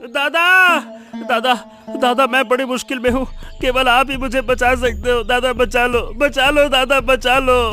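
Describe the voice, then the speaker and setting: A guilty cartoon fellow played by a woman pleads and whines in a moral story. The voice is very high, bright and tense, slow in its begging. Faint music plays behind. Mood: desperate. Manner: whining, repetitive pleading. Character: guilty, pleading male wrongdoer begging forgiveness.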